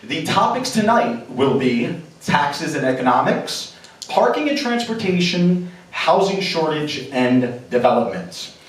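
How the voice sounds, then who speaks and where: A man's voice: on the high side, sing-song, a little slow. A man hosting and moderating a local political debate in a theater, with formal announcer delivery. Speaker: debate moderator and show host. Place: theater stage before a live audience. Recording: echoey theater from far off.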